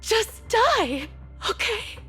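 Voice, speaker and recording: A woman playing a bitter, cruel mother in a video game drama, coaxing her child in an anguished, deliberate way. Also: very high voice, very animated, a bit bright, faint music behind, exaggerated voice. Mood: coldly coaxing.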